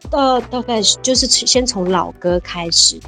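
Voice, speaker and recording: A woman's voice: a little high, moderate pace, pushed and bright, soft background music. A woman in her fifties chatting cheerfully as an interview guest, laughing often and repeating words. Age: 50s. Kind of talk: casual interview chat.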